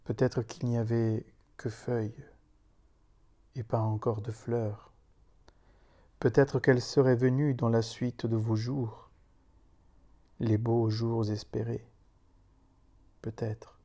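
A man recites solemn spoken poetry in a very mellow, dark voice. His delivery is slow and meditative, with grave pauses between short phrases. The intonation stays flat and even throughout. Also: mid-range voice. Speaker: meditation leader reciting own poem.

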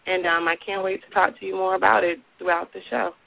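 A woman's voice: on the low side, flat delivery, fast talker, creaky, a little hiss or hum. A woman guesting on a radio interview show. She has a cold and sounds a little nasal, and she talks in a friendly, upbeat way with many ums. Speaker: guest, casting director. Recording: tinny phone line, dry.

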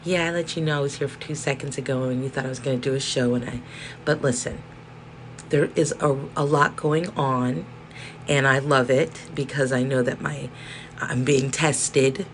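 Hoarse chatty woman podcast host. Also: very deep voice, fairly even intonation, normal speed. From South Central Los Angeles. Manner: rambling, chatty, self-interrupting with laughs. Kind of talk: casual solo podcast monologue. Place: indoor room with space heater. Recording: faint background whirring noise.

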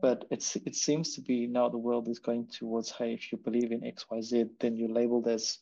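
A man's voice: medium pitch, level tone, a little fast, gravelly, mellow, highs cut off. A man hosting a podcast, chatting casually and telling stories in a rambling way with many repeated words. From Africa. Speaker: podcast host. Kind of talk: casual interview chat.

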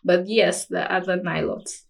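A woman's voice: fairly low pitch, fairly even intonation, measured pace, creaky. A young woman chatting casually as a podcast guest. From South Sudan. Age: young adult. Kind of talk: casual chat.

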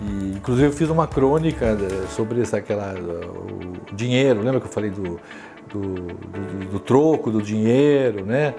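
A man in his sixties with a São Paulo accent, recalling his working life in an interview, talking slowly with many restarts and tag questions. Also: fairly low pitch, vocal fry, music in the background. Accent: São Paulo Portuguese. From São Paulo, Brazil. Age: 60s. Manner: unhurried, hesitant, frequent tag questions and restarts. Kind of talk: reflective life-story interview.

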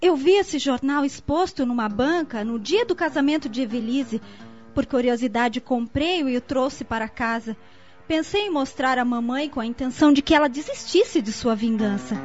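An anxious, contrite young daughter in a radio drama. Her voice is high and earnest, over music in a dull, lo-fi recording. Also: animated, normal speed, darkish tone. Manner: earnest, emotive. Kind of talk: radio soap acting. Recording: dull lo-fi audio, music underneath.

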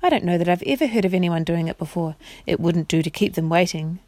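A woman's voice: on the low side, fast talker, darkish tone, no echo, studio quality, close to an everyday voice. A woman reading the part of a courteous, earnest male fawn with a polite, formal way of talking. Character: courteous male fawn, helpful messenger with goaty hooves.

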